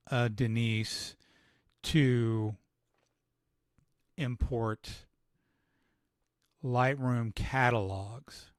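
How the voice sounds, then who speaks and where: A man giving a casual live software tutorial, thinking aloud with fillers, his voice starting to go. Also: medium pitch, dry recording, clean studio sound. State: losing his voice. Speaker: photographer demonstrating editing software.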